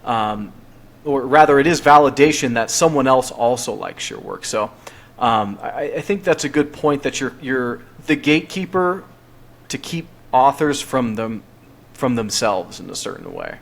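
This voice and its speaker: A man hosts a podcast conversation, relaxed and chatty, his voice in a plain middle register. He talks loosely and casually, now and then breaking into a light chuckle. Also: mid-range voice, a little slow, creaky. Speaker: podcast host.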